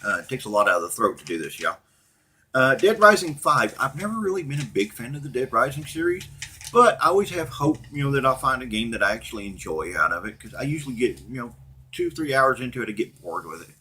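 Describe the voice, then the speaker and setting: A middle-aged man with a husky voice, talking casually and off the cuff as a blunt, profane, opinionated gaming channel host. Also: medium pitch, sing-song, quick pace, pushed and bright. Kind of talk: casual unscripted rant and commentary to viewers.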